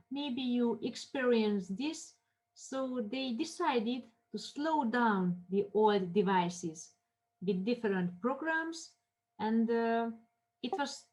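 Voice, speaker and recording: Woman lecturing in halting English. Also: fairly high pitch, a little mellow, poor audio. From Armenia. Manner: halting non-native English, many fillers and restarts. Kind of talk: classroom lecture on marketing cases.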